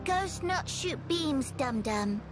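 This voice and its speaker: A little girl creature in a video game, very high and swooping in pitch. She is blunt and corrective, in broken, clipped phrases over loud music. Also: animated, slow pace. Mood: chiding. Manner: broken clipped grammar, scolding. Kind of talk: video game cutscene acting.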